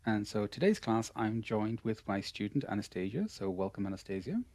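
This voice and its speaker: A man's voice: fairly low pitch, expressive, a little fast, darkish tone, no echo. A man with an Irish accent teaching English pronunciation in an online lesson. He talks patiently and encouragingly, repeating his praise often. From Ireland.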